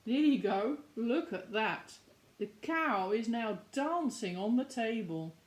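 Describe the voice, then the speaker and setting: A woman reading a picture book aloud to young children, expressive, with questions and asides to her listeners. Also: a little high, takes it slowly. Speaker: children's story reader.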